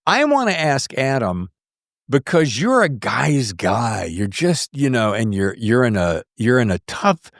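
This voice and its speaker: A middle-aged man with an average-pitched, very animated voice hosts a casual interview. He talks informally and jokily, happy to swear and wander off on a tangent. The sound is dry, with no room around it. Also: a little slow, no echo. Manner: informal, jokey, salty-tongued, prone to tangents. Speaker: podcast host.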